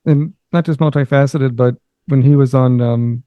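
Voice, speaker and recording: A man co-hosting a podcast as a guest, chatting quickly and eagerly about what he hears. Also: medium pitch, fairly even intonation, dry recording, narrow, tinny audio. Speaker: guest co-host. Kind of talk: casual podcast commentary.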